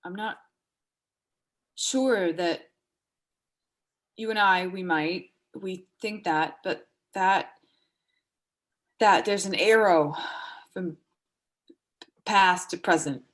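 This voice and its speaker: A woman playing a seriously ill woman under forty in a dramatic stage reading, evasive and halting in speech. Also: fairly low pitch. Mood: halting, pleading.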